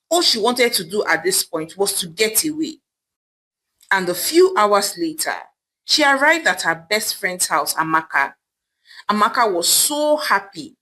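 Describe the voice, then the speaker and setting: A woman's voice: mid-range voice, bright, forceful tone, rough recording. A woman storyteller narrating a scripted folktale in a dramatic, emphatic manner.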